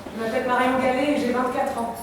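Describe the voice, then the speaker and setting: A woman's voice: sits high, flat delivery, fast. Young woman on stage, distant and echoey. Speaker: drama student. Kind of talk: stage recitation. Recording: echoey hall, distant mic, noisy.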